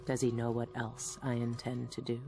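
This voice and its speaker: Give this one to a hardened, determined female assassin in a video game. Her delivery is measured and terse, with a weary, guarded edge. Clear music plays behind her. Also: a bass voice, monotone. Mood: brooding, reflective. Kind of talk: video game cutscene acting and brooding narration.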